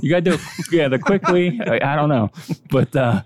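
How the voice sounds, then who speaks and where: A man's voice: a little high, animated, brisk, dry recording. A man, a homebrewer guest chatting casually on a podcast, rambling and self-deprecating with many restarts.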